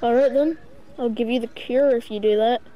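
A woman's voice: on the high side, fairly even intonation, fairly quick, dull audio, background noise. A woman improvising role-play in a game voice chat, answering tersely. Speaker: player role-playing a villain.

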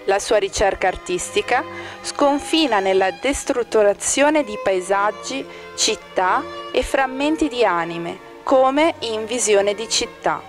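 A woman reading a scripted art-exhibition commentary in a measured, formal manner. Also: medium pitch, expressive, music in the background. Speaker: exhibition narrator. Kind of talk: scripted art-critic narration.

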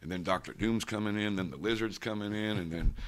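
A man's voice: on the low side, brisk. A man in his sixties, interviewed on a stand mic in a studio, telling long rambling stories and wandering off the question. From grew up abroad, Saudi Arabia, Africa and India. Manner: rambling, digressive, loses the question. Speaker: interviewee, comic collector. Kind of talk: rambling oral-history interview. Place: studio with painted backdrop, indoors. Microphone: stand mic close in front.

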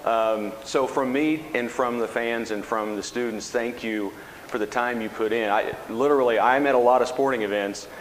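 A man's voice: mid-range voice, moderate pace. A man hosting a school radio show, interviewing a group of students in a casual, encouraging way. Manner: friendly, encouraging, chuckling asides. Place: large classroom with hard walls. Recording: echoey hall with background noise.

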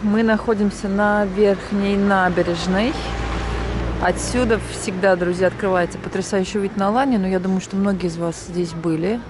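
A woman vlog host narrating a relaxed walking tour to her viewers, outdoors at night on a handheld camera. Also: mid-range voice, moderate pace. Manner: relaxed guide-like commentary, speaking to viewers as friends. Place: outdoors on a city street at night. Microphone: handheld action camera. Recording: street noise behind.

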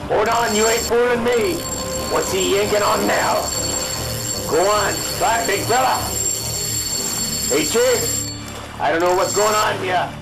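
Man playing a high-pitched crusty old fishing skipper. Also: unhurried, vocal fry, long pauses, music in the background, poor audio. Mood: excited. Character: crusty old fisherman skipper, gruff, bossy, scornful. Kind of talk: amateur radio drama spoof acting.

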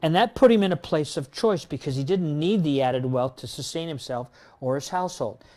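Older man preaching, slightly high voice, casual tag questions, loud and soft swings. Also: brisk. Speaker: pastor. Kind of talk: sermon. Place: church room with projector screen.

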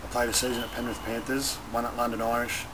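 Young man, Australian accent, laid-back athlete interview, halting conversational delivery, outdoors on camera mic, loud background noise. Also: medium pitch, level tone. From Newcastle, Australia. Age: young adult. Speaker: rugby league player. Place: open-air stadium stand by a running track. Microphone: camera mic a short distance away.

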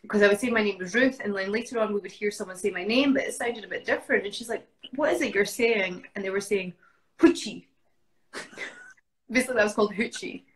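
A woman's voice: mid-range voice, a little fast, poor audio. A woman in her forties from Scotland with a Scottish accent, chatting as a guest on a video call from home and reading out viewers' greetings. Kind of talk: casual video call chat. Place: home room indoors. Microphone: video call webcam.

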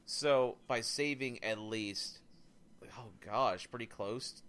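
A man co-hosting a casual gaming podcast, rambling excitedly and thinking out loud as he adds up prices. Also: mid-range voice, takes it slowly, a little hiss or hum. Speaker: podcast co-host.

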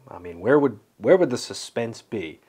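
A man's voice: mid-range voice, sing-song, moderate pace, darkish tone, slightly rough. A man talks casually to camera as a vlogger, rambling and a little self-deprecating. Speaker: vlogger, landscape photographer. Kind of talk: casual vlog talk to camera. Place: small home studio room.

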